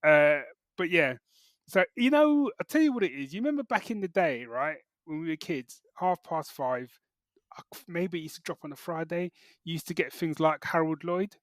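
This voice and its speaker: British man, slightly high voice, dry recording. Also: expressive, normal speed, dry recording. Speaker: podcast co-host. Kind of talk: casual chat, geek reviews.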